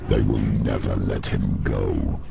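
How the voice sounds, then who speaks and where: A growling, abyss-deep demon. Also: male voice, steady tone, takes it slowly, gravelly, heavily acted. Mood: menacing taunt. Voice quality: guttural, growling. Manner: threatening, domineering. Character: menacing dark male demon, cruel and taunting. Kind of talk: dramatic video game acting. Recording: loud music underneath.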